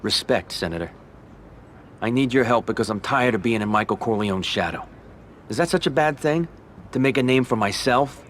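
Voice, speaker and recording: An actor playing an ambitious, calculating American mobster in a video game, speaking in a measured, polite, persuasive way. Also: male voice, mid-range voice, lively intonation, a little hiss or hum, natural voice. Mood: ambitious, resolute. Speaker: mobster character in a crime game. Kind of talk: dramatic video game cutscene acting.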